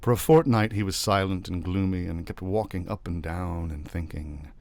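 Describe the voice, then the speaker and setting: A man reading a literary short story aloud as an expressive narrator. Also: low-pitched, moderate pace, dry recording. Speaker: story reader.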